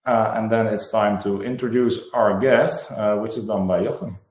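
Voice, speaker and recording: A man's voice: lowish voice, steady tone, normal speed, darkish tone. A man hosts a webinar and rambles through announcements, filling gaps with "uh". His voice rings in an echoey room over the call. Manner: rambling, filler-heavy. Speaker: webinar host. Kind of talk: event announcements.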